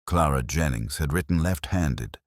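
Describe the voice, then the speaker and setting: A man narrating a scripted first-person detective story in a measured, literary, brooding way. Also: extremely low pitch, expressive, no room sound, studio quality.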